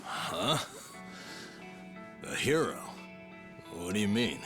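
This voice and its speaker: A laid-back, teasing buddy. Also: male voice, fairly low pitch, very animated, slow pace. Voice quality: easygoing, teasing, laughs easily. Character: laid-back, teasing middle-aged man, a loyal buddy. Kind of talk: video game cutscene dialogue. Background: game music.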